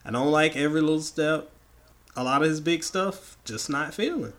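A man from the American South co-hosting a podcast, hoarse and raspy from the flu, joking and opinionated in casual chat. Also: a little high, moderate pace, a bit bright. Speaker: podcast co-host.

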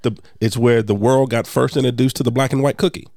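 A man's voice: mid-range voice, steady tone, fast, darkish tone, no room sound, clean studio sound. A man co-hosting a casual podcast with his wife, congested from a chest cold, talking in an earnest, teasing way. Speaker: podcast co-host. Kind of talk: casual chat.

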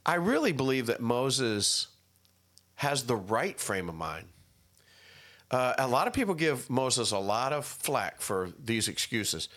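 Male podcast host, nasal with a head cold, lively up-and-down delivery, easygoing and jokey. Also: medium pitch, unhurried. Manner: chatty, jokey, laughs easily. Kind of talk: casual Bible discussion podcast. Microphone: studio podcast mic.

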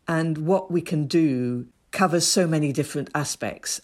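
A woman from Ethiopia, a women's rights activist, giving earnest, measured interview answers that build up points in lists. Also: low-pitched.

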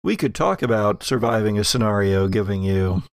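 A man narrating a tabletop horror game as game master, setting scenes theatrically with deliberate pauses. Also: lowish voice, lively intonation, no echo. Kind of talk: narrating an actual-play horror roleplaying game.

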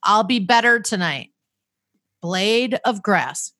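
A woman chatting casually as host, candid and self-deprecating as she tells a home story. Her voice is mid-pitched and recorded with a dry, close sound. She talks in a relaxed, frank way. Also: takes it slowly, dry recording. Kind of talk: casual chat.

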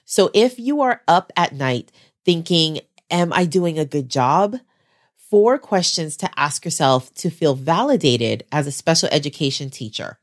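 A woman's voice: sing-song, measured pace. A woman hosting her own podcast as a special education teacher, talking to listeners in a chatty, encouraging way while stuffed up from the flu. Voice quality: lower, congested voice. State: stuffed up, getting over the flu. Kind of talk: solo podcast talk.

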